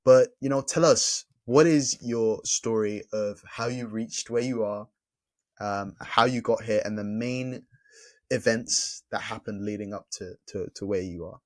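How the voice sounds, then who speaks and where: A man hosting a casual podcast interview, relaxed, laughing often and repeating words as he thinks. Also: lowish voice, a little slow. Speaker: podcast host.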